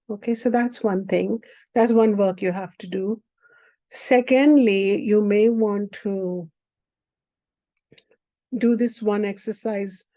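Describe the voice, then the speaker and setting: Slow, warm woman teacher, native Hindi speaker, checks in with listener; dry, dull lo-fi recording. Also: medium pitch, no echo. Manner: unhurried, often checks in with the listener. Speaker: spiritual teacher. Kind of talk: teaching a spiritual class.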